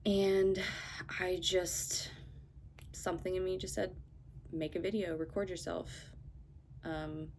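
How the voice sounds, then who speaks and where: Woman, 30s, casual home vlogger; unhurried, hesitant delivery with fillers. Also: lowish voice, flat delivery, darkish tone, a little hiss or hum. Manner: hesitant, lots of fillers and self-conscious laughs. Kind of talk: casual personal vlog monologue.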